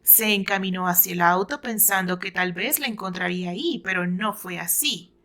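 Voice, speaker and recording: A woman's voice: lowish voice, moderate pace, bright, forceful tone. A woman with a Mexican accent narrating a romantic story aloud in a dramatised, scripted style.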